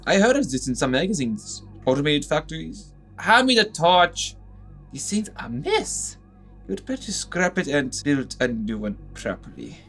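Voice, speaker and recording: An actor playing a brisk, curious doctor-engineer in an audio drama, matter-of-fact and easily fascinated as he mutters over his work. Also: fairly high pitch, sing-song, a bit bright, soft background music, heavily acted.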